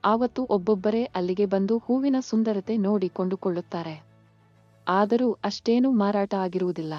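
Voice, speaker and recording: A woman's voice: medium pitch, normal speed, dry recording. A woman reading scripted narration for a children's moral story. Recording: muffled lo-fi sound. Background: faint music.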